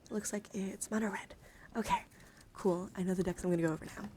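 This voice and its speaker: A woman livestreamer talking in a casual, rambling ASMR style, with a soft voice that often slips toward a breathy whisper, close to the microphone. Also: mid-range voice, steady tone, fast talker, background noise. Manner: rambling, informal. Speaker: livestreamer showing card decks.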